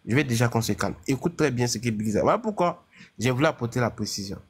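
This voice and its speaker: A man moderating an online debate, calmly restating what others have said. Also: mid-range voice, fast talker, husky. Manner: calm, restating others' points.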